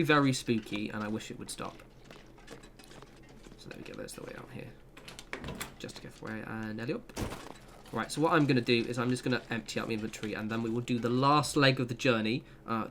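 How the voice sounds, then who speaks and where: A man's voice: medium pitch, vocal fry, fairly bright tone, slight background noise. A young man giving a casual, rambling gameplay guide with self-deprecating asides. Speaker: gaming channel host.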